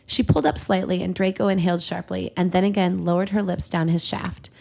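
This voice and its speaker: A woman with a noticeable creak in her voice reads a story aloud as a podcast host. She plays it for comedy, giggly and quick to step out of the text for banter. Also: on the low side, level tone, darkish tone. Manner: giggly, breaks off to joke. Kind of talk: comedic read-aloud of fan fiction with banter.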